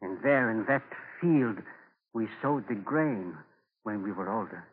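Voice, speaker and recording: A man's voice: on the low side, expressive, a little slow, slightly rough. Solemn, homesick Native man, old radio. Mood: urgent searching. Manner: solemn, simple formal phrasing. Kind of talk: 1940s radio drama acting. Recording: thin, narrow-band 1940s radio broadcast.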